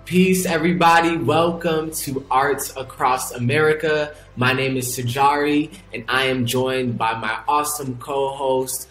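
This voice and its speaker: A man's voice: mid-range voice, steady tone, unhurried, few pauses, fairly bright tone, soft background music. A man hosting an arts show, who opens upbeat and welcoming and reads a scripted guest introduction. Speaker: host. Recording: roomy room with faint noise.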